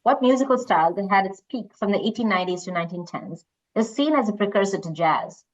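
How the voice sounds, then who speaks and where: A woman's voice: medium pitch, animated, quick pace, highs cut off. A woman reading quiz questions and moderating casually as host.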